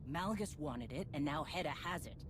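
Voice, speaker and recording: A proud Mandalorian warrior queen for a video game, with a deep female voice. She is terse, resolute and used to command. Clear ambient noise sits behind her. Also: lively intonation, moderate pace. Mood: grim resolve. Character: proud, tough female Mandalorian warrior leader, wounded but defiant. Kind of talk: video game cutscene acting.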